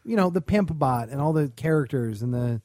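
A man's voice: medium pitch, expressive, normal speed, a little mellow, no room sound, studio quality. A man co-hosting a podcast in casual, conversational chat. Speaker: podcast co-host. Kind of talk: casual chat about television.

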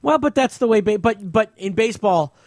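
A man's voice: sits high, expressive, brisk, a little mellow, no echo, clean studio sound. A man hosting a podcast, talking sports casually in blunt, profane language. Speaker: podcast host.

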